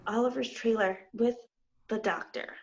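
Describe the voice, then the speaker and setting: A woman's voice: fairly high pitch, moderate pace, lightly acted. A woman playing a precocious, eager young production assistant in a screenplay read. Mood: matter-of-fact. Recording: dull lo-fi online call audio.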